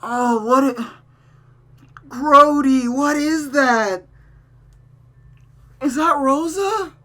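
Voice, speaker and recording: A host playing through a visual novel and commenting on it with animated, exclaiming reactions, over a noisy recording. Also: high voice. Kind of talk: reacting commentary on a game playthrough.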